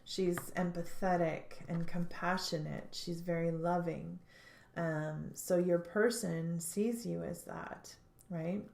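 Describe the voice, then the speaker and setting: A woman giving a tarot reading, sounding stuffed up, speaking thoughtfully with frequent pauses and restarts. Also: fairly low pitch, steady tone, gravelly, a little mellow, echoey room. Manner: thoughtful, hesitant, many fillers and restarts. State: stuffed up, congested. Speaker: tarot reader.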